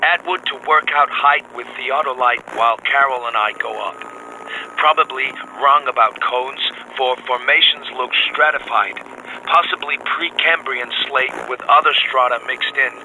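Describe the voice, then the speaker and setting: An excited expedition scientist in a radio drama, speaking at a middle pitch. His phrases are clipped and telegraphic, like field dispatches. They come through a crackly wireless with clear noise around the voice. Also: moderate pace. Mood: awed, excited. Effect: crackly radio transmission.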